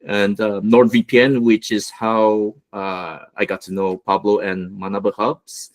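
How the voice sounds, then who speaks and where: Low-voiced man giving a slide talk. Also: takes it slowly. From United States, Los Angeles. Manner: relaxed, many fillers. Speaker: guest mentor presenting. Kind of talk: online presentation with slides.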